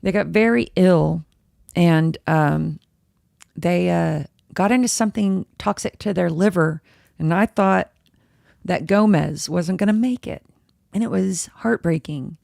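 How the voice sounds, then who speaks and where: Woman, hoarse, deep vocal fry, rambling podcast chat, very dry close sound. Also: measured pace, darkish tone, dry recording. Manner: chatty, rambling, self-interrupting. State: a little hoarse. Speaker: podcast host. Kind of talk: casual solo podcast chat, live-streamed. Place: home room with dogs. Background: dogs and a squeaky toy at times.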